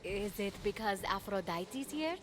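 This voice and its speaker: An actress playing a plucky, wry and teasing young heroine in a video game. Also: female voice, mid-range voice, expressive, measured pace, slight background noise, close to an everyday voice. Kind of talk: video game cutscene acting.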